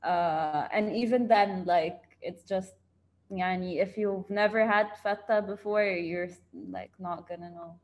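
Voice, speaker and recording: A woman's voice: on the low side, fairly even intonation, unhurried, lo-fi sound. A young woman speaking on a video call from a room at home, hesitant, with many fillers, slipping in Arabic words as she talks. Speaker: workshop participant and translator. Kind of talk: panel discussion on a video call. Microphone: laptop mic on a video call.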